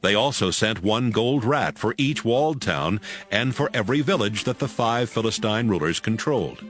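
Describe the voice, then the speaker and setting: Male narrator for dramatized scripture: lowish pitch, lively intonation, gentle music underneath. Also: moderate pace.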